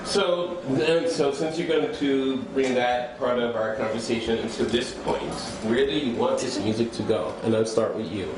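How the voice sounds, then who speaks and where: A man's voice: medium pitch, normal speed, hardly any pauses. A man hosting a casual interview, upbeat and laughing along with his guests. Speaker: interview host. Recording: echoey room with loud crowd noise.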